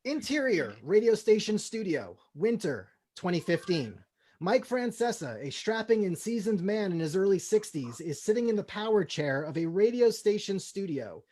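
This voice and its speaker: Male comedy host, high voice, casual delivery, deadpan script reading. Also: moderate pace.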